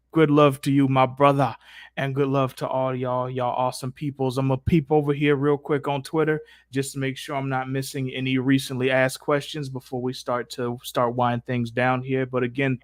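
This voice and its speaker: A man's voice: mid-range voice, steady tone, normal speed, dry recording, clean studio sound. An American man hosting a casual live stream, chatting in an effusive, slangy, profane way.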